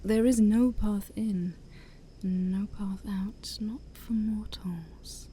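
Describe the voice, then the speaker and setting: Woman playing a dark, breathy, ancient demon princess. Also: medium pitch, steady tone, a little hiss or hum. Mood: imperious. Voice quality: breathy, often near-whispered. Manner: slow, teasing, haughty, theatrical. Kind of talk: soft-spoken ASMR roleplay.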